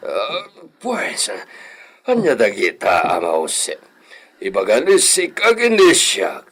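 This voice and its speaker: Man playing boastful elderly landowner for radio comedy, Ilocano accent, slow and theatrical, big swings in pitch. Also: wildly swooping pitch, fairly bright tone, slightly rough, exaggerated voice. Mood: curious, pompous. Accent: Filipino, Ilocano.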